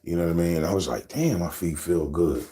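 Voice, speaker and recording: A man in his thirties with a deep voice, telling loose, rambling stories to his camera as a casual vlog and laughing often. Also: fairly quick, warm tone, slightly rough. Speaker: vlogger.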